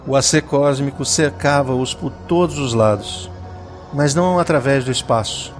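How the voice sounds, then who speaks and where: A Brazilian man narrating a scripted dramatic story in a solemn, deliberate manner. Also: mid-range voice, soft background music.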